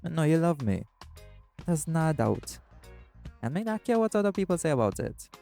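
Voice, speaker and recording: A man voicing a doting, laid-back seagull shifter boyfriend in an intimate audio roleplay, speaking softly and affectionately. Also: a little high, very animated, moderate pace, vocal fry, soft background music. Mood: tender. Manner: soft-spoken, affectionate, creole-inflected speech.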